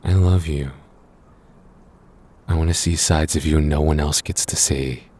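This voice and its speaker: A man's voice: extremely low pitch, steady tone, a little slow, a little mellow, slight background noise. A man playing a possessive, affectionate boyfriend in an intimate, teasing roleplay audio. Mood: tender, possessive.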